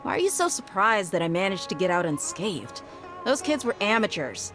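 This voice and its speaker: A woman's voice: medium pitch, very animated, normal speed, close to an everyday voice. A woman voicing a young, self-assured mercenary swordfighter in an anime video game, direct and protective. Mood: confident. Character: confident, protective young female mercenary swordfighter, brisk and frank. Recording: background music behind the voice.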